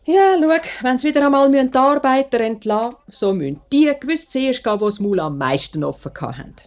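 A woman's voice: high voice, lively intonation, fast, a little mellow. An actress playing a worrying, grumbling older mother with a Swiss German accent, nagging fretfully in a 1950s radio drama style. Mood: worried, grumbling. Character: worrying, grumbling old mother of a grown worker.

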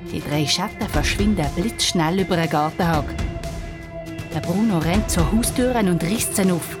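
Female narrator, Swiss accent, slightly low voice, reading a children's adventure story with clear background music.

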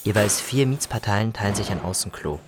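A man's voice: on the low side, expressive, brisk. A man narrating a scripted first-person literary story as a storyteller.

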